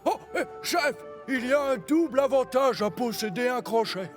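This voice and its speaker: Un comédien doublant une locomotive-grue joyeuse qui rit de ses propres blagues, dans un dessin animé. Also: male voice, very high-pitched, expressive, moderate pace, soft background music. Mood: cheerful. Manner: chuckling at his own jokes. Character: cheerful male crane engine, jokey. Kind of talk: cartoon dub acting.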